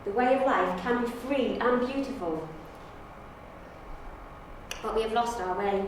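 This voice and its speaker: A woman's voice: on the high side, unhurried, close to an everyday voice. A woman auditioning as a loyal, defiant Time Lord, with earnest theatrical delivery. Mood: impassioned, pleading. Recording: distant, very echoey room, faint noise.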